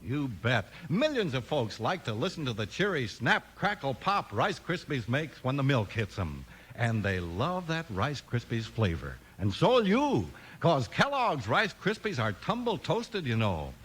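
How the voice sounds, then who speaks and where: A man's voice: mid-range voice, wildly swooping pitch, unhurried, hardly any pauses, a bit bright, slightly rough. An enthusiastic American man announcing in a punchy 1950s radio style. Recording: noisy old radio transcription with clicks.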